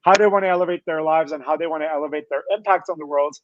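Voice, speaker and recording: Higher-voiced man on dull, dry call. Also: very fast, background noise. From Turkey, later Scotland. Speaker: guest, company founder. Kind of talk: conversational interview storytelling.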